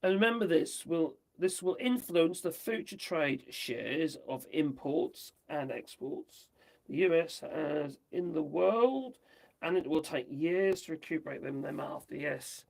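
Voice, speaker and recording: A man from the UK, chatting his way through the news on a livestream, rambling and tossing in tag questions, his voice going hoarse. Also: a little high, animated, measured pace. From United Kingdom. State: losing his voice. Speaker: livestream host. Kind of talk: casual commentary on news.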